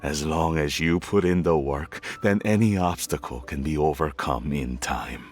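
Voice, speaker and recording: A gruff middle-aged father in a video game. His voice sits very low and he takes his time, halting and a little awkward as he shows a tender, reticent pride. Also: a little mellow, soft background music. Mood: tender, encouraging. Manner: halting, sincere, slightly awkward.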